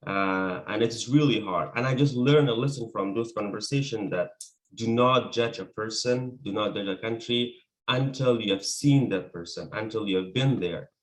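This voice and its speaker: An interview guest from Afghanistan speaks in a lowish male voice with an Afghan accent. A native speaker of Persian, he tells his own experience earnestly, often restating a point to stress it. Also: moderate pace, poor audio. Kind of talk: personal account in an interview.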